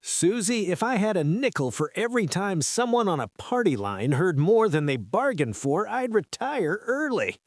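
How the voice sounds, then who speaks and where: Man playing a high-pitched, lively radio newsman. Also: moderate pace, few pauses, dry recording, clean studio sound. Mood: wry banter. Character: 1975 small-town AM radio newsman and host, wry. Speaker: radio announcer. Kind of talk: scripted radio news reading and banter.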